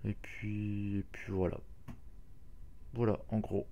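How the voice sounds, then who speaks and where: A man's voice: lowish voice, flat delivery, slow, dark tone, lo-fi sound. A man hosting a casual game walkthrough, talking hesitantly with many fillers and restarts. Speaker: gaming channel host.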